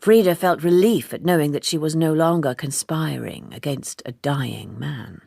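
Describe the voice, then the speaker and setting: A woman narrates a literary audiobook with measured precision. Her voice is low-set and heavily creaky, with a husky grain, recorded very dry. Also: darkish tone, dry recording.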